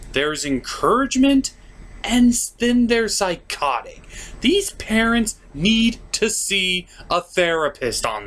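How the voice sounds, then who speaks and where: A man's voice: fairly high pitch, fairly bright tone, slight background noise. A man hosting a comic scripted review, narrating with theatrical, sarcastic, mock-dramatic emphasis. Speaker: cartoon reviewer, show host.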